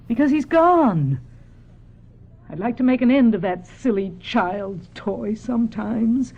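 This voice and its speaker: A woman's voice: a little high, lively intonation, takes it slowly, breathy edge, full character voice. An actress playing a stern, impatient, unsentimental middle-aged mother in a radio drama. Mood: stern, scolding. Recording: dull lo-fi radio sound.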